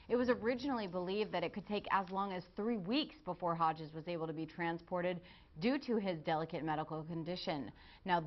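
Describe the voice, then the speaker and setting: A woman's voice: mid-range voice, lo-fi sound. A woman with an American accent reading scripted television news as an anchor.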